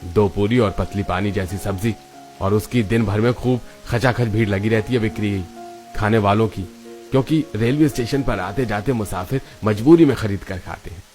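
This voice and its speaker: A man's voice: on the low side, moderate pace, darkish tone, close to an everyday voice. A man voicing a kindly, chatty older railway station master in a cartoon story, with faint music behind. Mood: approving, chatty.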